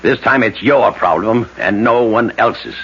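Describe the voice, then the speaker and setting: A man's voice: lowish voice, measured pace, fairly bright tone, a little hiss or hum. An actor playing a stern, unyielding older father in an old radio drama, commanding and scornful. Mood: cold, scornful. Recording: dull old radio recording.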